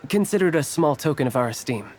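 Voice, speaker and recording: A man's voice: fairly high pitch, animated, fast talker, a little mellow. An actor voicing a polite, formal male merchant of a trade guild in a video game. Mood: gracious. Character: courteous middle-aged male merchant guild clerk.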